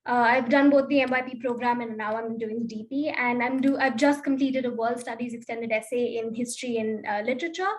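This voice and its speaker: A woman's voice: fairly high pitch, fairly quick, few pauses, low-quality recording. A teenage girl on a student panel, articulate and listing points, with frequent uhs. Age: teens. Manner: articulate, listy, many fillers. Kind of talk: answering panel questions.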